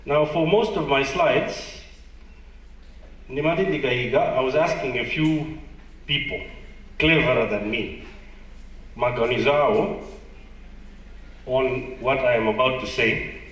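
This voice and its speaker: A man's voice: medium pitch, slow pace, long pauses, fairly bright tone. Malawian male conference presenter, conversational and self-deprecating, recorded at a distance in a reverberant hall. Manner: conversational, self-deprecating, asks the audience questions. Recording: echoey hall, distant mic.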